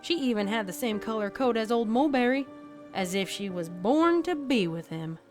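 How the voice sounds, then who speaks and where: A woman voicing a deadpan cowgirl pony who tells a spooky tale in a folksy, dramatic way for a fan fiction audio story. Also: a little high, moderate pace, close to an everyday voice. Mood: grave, ominous. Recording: background music under the voice.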